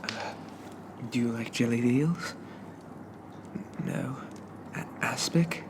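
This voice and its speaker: Man playing a shy, creaky-voiced zombie gentleman, slow. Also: mid-range voice, background noise. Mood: eager, shy. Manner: hesitant, stammering, apologetic, old-fashioned polite phrasing. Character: shy, polite young male zombie from a bygone era. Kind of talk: ASMR roleplay, soft-spoken.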